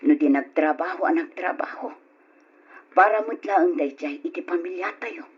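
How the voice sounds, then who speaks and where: A woman acting a weary, caring mother and long-suffering wife with a husky voice in an old Ilocano radio drama. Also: fairly low pitch, moderate pace, lo-fi sound. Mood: pleading.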